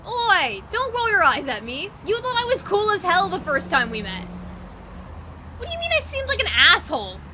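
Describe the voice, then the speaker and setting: A woman's voice: extremely high pitch, wildly swooping pitch, moderate pace, pushed and bright, slight background noise, pitched above the performer's own voice, heavily acted. A woman voicing a cocky, sweary, hot-tempered punk boy in his late teens in an audio roleplay. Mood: indignant, boastful. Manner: brash, sweary, indignant.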